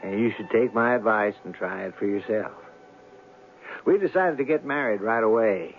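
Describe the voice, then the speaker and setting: A man plays a self-amused narrator telling his own tale in an old radio play. His voice is on the low side and chatty, with wry asides. Music runs clearly behind the slightly dull recording. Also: normal speed, close to an everyday voice. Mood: wry, pleased.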